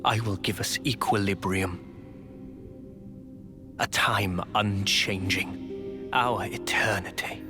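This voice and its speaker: A man voicing a grave, brooding villainous lord in a video game, measured and solemn. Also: fairly low pitch, steady tone. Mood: brooding, resolute. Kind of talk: dramatic video game voice acting. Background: music.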